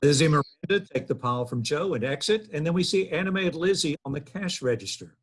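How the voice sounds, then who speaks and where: A man's voice: on the high side, animated, fast, a little hiss or hum. A man reading out stage directions at a sitcom table read, in a plain narrating manner.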